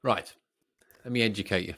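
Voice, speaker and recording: Low-voiced man, jokey podcast banter. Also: fairly quick, no room sound, clean studio sound. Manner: jokey, teasing, animated. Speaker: podcast co-host. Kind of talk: casual banter between co-hosts.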